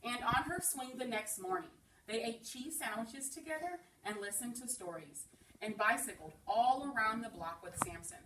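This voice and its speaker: A woman reading a picture book aloud to young children, pausing to ask them questions and echo their answers. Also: fairly high pitch, expressive, pushed and bright. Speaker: preschool teacher reading aloud. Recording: roomy, distant mic, faint noise.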